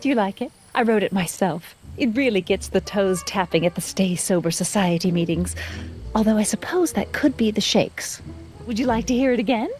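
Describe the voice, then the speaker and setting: A woman's voice: mid-range voice, expressive, moderate pace, a little mellow, soft background music, close to an everyday voice. An actress playing a prim, zealous young woman reporter in a video game, wordy and theatrically righteous. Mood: proud, earnest. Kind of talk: video game character acting, period melodrama.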